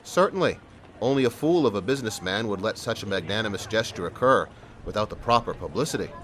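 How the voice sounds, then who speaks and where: An actor playing an earnest, smooth-talking police sergeant who tells his story in an audio drama. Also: lowish voice, expressive, fast talker, a bit bright, a little hiss or hum. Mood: coaxing. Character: persuasive, good-hearted male police sergeant and storyteller. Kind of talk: audio drama acting and first-person narration.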